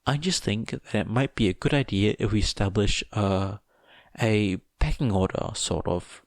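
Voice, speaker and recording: A man's voice: on the low side, normal speed, dry recording, clean studio sound, close to an everyday voice. A man voicing a nervous, polite teenage boy who talks carefully and sweetly in an audiobook story. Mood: placating. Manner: hesitant, placating, polite.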